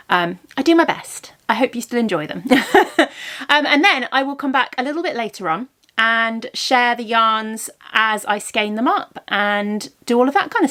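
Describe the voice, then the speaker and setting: A woman's voice: on the high side, fairly bright tone. A woman in her thirties chatting casually in a vlog, a little congested from a cold, rambling with lots of ums and self-corrections. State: congested, blocked ear from a cold. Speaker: vlogger, yarn dyer.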